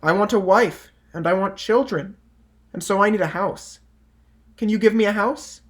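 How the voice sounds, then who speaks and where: A man's voice: high voice, moderate pace, natural voice. Storybook boy growing older, read by a man: needy, plain, self-absorbed delivery. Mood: demanding. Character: needy, weary boy who grows old. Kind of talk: picture-book read-aloud character.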